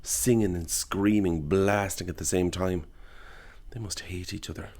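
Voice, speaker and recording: A man's voice: sits low, fairly even intonation, measured pace, darkish tone. A man playing a weary, sardonic neighbour who grumbles uneasily, in a naturalistic audio horror drama. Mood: sardonic, fed up.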